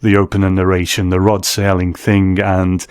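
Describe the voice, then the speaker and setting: A man's voice: low voice, monotone, normal speed, clean tone, dry recording, clean studio sound. A man interviewing someone in casual conversation, listening attentively and phrasing his remarks a little hesitantly.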